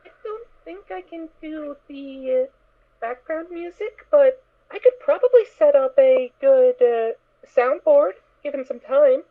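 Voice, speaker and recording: A woman's voice: high-pitched, measured pace. A woman who voice acts for an online reading, chatting casually with the rest of the group. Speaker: voice actor in an online dramatic reading. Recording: thin, phone-like online call audio.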